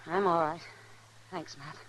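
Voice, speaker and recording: A woman's voice: low-pitched, steady tone, measured pace, a bit bright, rough recording. An American actress playing a plain-spoken, observant frontier saloon woman in an old radio western, matter-of-fact in delivery. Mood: wary. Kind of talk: 1950s radio western acting. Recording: old radio, crowd noise.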